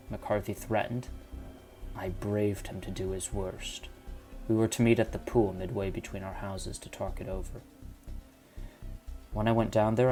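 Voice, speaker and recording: A low-voiced old landowner, played by a man, makes a grave confession in an audio drama. He is bitter and desperate, speaking in formal, old-fashioned phrases over music. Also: a little mellow, lightly acted. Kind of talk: amateur audio drama acting of a Victorian detective story.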